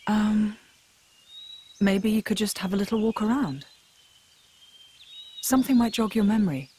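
A woman's voice: mid-range voice, measured pace, warm tone, breathy edge. A woman voicing an earnest, curious young female warrior in a video game cutscene. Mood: concerned, curious.

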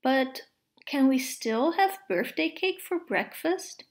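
A woman voicing excitable little monkey children in a storybook, urgent and childlike, fretting and shushing. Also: high-pitched, slow. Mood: hopeful.

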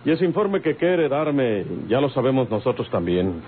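A man's voice: mid-range voice, big pitch swings, moderate pace, lightly acted. Man as a firm Mexican outlaw chief, tinny. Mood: firm, cautioning. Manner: firm, authoritative. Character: commanding, cautious outlaw gang leader. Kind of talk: old Mexican radio drama acting. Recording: tinny, slightly noisy old radio recording.

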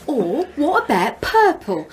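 A woman's voice: high voice, huge pitch swings, slow pace, heavily acted, poor audio. An actress playing a plucky, sardonic woman in a radio crime drama, defiant and cheeky. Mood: coaxing. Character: plucky, sardonic London woman facing a gunman.

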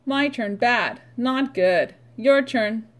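A woman teaches young children in a read-aloud and vocabulary lesson. She goes very slowly, using short, simple phrases and leaving pauses. The lesson sounds replayed from a computer. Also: fairly high pitch, lively intonation. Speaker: preschool teacher.

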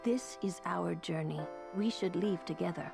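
A woman's voice: on the low side, moderate pace, vocal fry, music in the background. An actress playing a stern, cool young woman mage in a video game, clipped and severe. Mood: cool, firm. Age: young adult. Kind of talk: video game cutscene acting.

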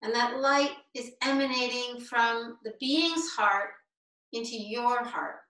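A woman with a fairly high, very bright voice leads a guided meditation at an unhurried pace. She delivers short, deliberate phrases with space between them. The sound is roomy, picked up over a video call. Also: recorded from a distance. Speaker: meditation teacher.

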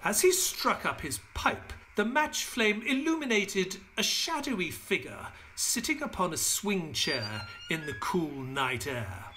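A man playing an earnest detective's sidekick who tells the story in an audio drama, in a measured, descriptive way. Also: sits high, sing-song, sharp tone, slight background noise, heavily acted. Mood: measured, narrating. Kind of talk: audio drama narration and dialogue.

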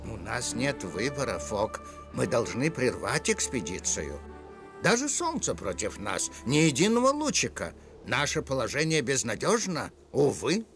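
Solemn cartoon animal professor. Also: male voice, mid-range voice, wildly swooping pitch, unhurried, fairly bright tone, soft background music, full character voice. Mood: despondent. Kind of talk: dubbed cartoon acting.

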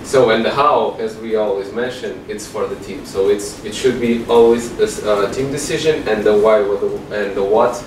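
A man's voice: lowish voice, normal speed, few pauses. Hesitant man, roomy. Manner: hesitant, many fillers. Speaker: presenter. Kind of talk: tech meetup talk. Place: large meeting room with projector. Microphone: far room mic. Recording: echoey room from far off, noisy.

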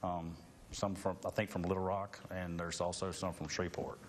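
A middle-aged man with a very deep, flat, monotone voice, rambling casually as a studio guest. Faint background noise sits under his lapel-miked talk. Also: normal speed. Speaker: awning company guest. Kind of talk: live TV interview. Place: TV studio set.

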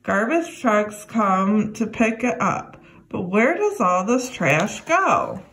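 A young adult woman reading a children's educational book aloud, addressing young listeners in a deliberate way. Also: mid-range voice, expressive, fairly bright tone, husky. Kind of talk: read-aloud children's lesson.

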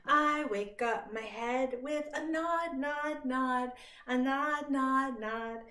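A woman with a high voice leads a toddler storytime. She delivers action rhymes and simple instructions in an encouraging singsong, extremely slowly. The sound is roomy, picked up by a microphone some distance away. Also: pushed and bright. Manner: exaggerated, slow, encouraging delivery with active movement. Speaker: children's librarian leading a toddler storytime. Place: small bright indoor room at home. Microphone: device camera a few feet away.

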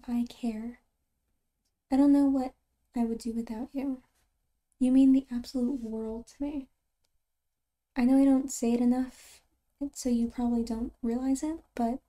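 A woman playing a caring, reassuring girlfriend in an ASMR roleplay, soft-spoken and breathy, close to a whisper, with halting, tender delivery. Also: high-pitched, fairly even intonation. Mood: tender reassurance.

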